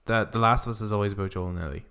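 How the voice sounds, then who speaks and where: A man's voice: on the low side, fairly even intonation, rapid-fire, fairly bright tone, clean tone. A man co-hosting a casual podcast, thinking aloud in a tentative, conversational way. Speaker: podcast co-host.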